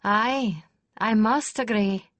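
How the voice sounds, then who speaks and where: A composed noblewoman, as a female narrator reads her, measured and formal in her speech. The reading is close and dry. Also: medium pitch, a bit bright, no room sound, pitched above the performer's own voice.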